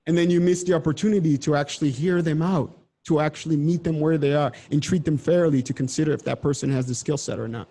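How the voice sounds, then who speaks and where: A man's voice: a little high, steady tone, fast talker, dark tone, husky. A man from the Democratic Republic of Congo giving a conversational seminar presentation over a video call, earnest and explanatory. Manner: earnest, explanatory, repeats himself.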